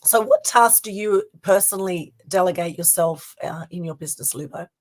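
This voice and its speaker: A woman hosting a live-streamed interview over a video call, her voice scratchy at the moment as she asks measured follow-up questions. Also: lowish voice. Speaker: host.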